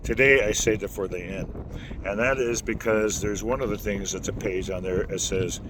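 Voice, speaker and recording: Low-voiced man rambling through a lesson. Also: steady tone, moderate pace. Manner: rambling, folksy, with many fillers and restarts. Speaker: singing teacher. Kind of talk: casual instructional talk. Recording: noisy background.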